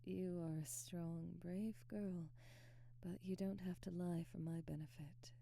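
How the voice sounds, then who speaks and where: A woman playing a proud, ambitious vampire aristocrat in an intimate ASMR roleplay, speaking softly and breathily with measured, formal diction. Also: on the low side, steady tone, a little hiss or hum. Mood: soft, remorseful. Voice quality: soft, breathy, whispery. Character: proud, ambitious female vampire aristocrat, remorseful, domineering captor.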